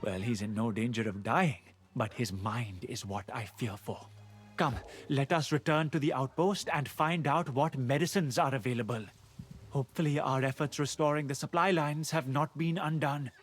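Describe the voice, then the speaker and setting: A man's voice: medium pitch, normal speed, natural voice. Solemn soldier, a man acting, music behind. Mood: steady. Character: weary, loyal soldier, solemn and admiring. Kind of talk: dramatic video game acting.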